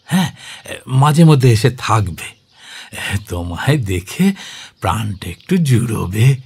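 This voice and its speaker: An actor playing a warm, hospitable elderly village uncle in an audio drama, hesitant and avuncular. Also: male voice, fairly low pitch, big pitch swings, slow, vocal fry, breathy edge, exaggerated voice. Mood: insistent, affectionate. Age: older.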